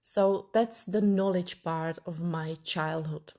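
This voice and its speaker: A woman's voice: on the low side, fairly even intonation, slow, dark tone. A woman in her thirties from Italy, hosting her own podcast and thinking aloud as she searches for her words. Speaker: podcast host. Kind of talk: personal podcast monologue.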